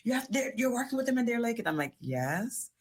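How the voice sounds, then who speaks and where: A woman's voice: on the high side, sing-song, fairly quick. A woman from the United States telling personal stories in a casual interview as a therapist guest, expressively acting out reactions. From United States, born in New York. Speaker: guest, tantric therapist and psychotherapist.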